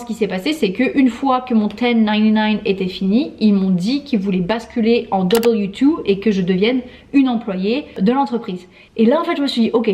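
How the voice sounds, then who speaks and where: A woman's voice: a little high, a little mellow. A woman in her thirties from France, chatting casually and emphatically to camera in a year-review vlog. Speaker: vlogger.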